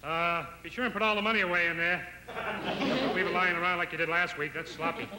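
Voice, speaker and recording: A man's voice: sits high, fairly even intonation, fast, close to an everyday voice. A man playing a bossy, exasperated schemer in a stage comedy sketch, explaining things insistently and repeating himself. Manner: insistent, repetitive. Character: bossy, exasperated bank-robbery instructor with psychobabble. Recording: echoey noisy old TV theatre recording.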